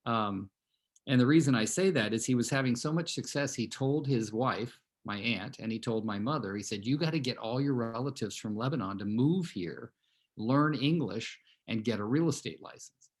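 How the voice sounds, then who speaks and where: A man in his sixties from California, speaking at a middling pitch as he tells his team a long personal story over a video call. He rambles through anecdotes with plenty of fillers and restarts, and keeps turning to speak straight to his listeners. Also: normal speed. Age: 60s. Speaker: real estate team leader addressing his team.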